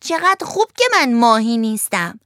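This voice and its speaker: A woman reading a storybook aloud, voicing a small wooden puppet boy who is indignant and frightened, with a shrill, pleading delivery. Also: very animated, a bit bright, no echo, studio quality. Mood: relieved.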